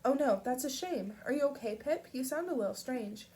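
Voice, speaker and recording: Woman putting on a bright, chatty mother. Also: sits high, expressive, normal speed, voice pushed up.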